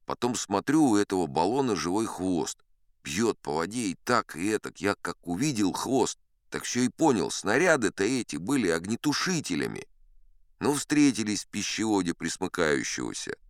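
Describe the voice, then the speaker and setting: A man's voice: on the low side, sing-song, moderate pace, dry recording, clean studio sound. A man voicing a boastful old sea captain who tells tall tales in the first person, chatty and confiding with the listener. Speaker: audiobook narrator. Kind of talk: first-person storytelling in an audio play.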